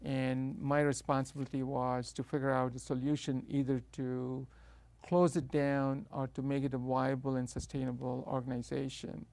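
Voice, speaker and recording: A middle-aged man with a South Indian accent speaks in a flat, level tone with a gravelly edge, recorded close and dry. He tells his story as an interview guest, hesitant and full of restarts. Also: medium pitch, normal speed, dry recording. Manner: hesitant, many uh fillers and restarts.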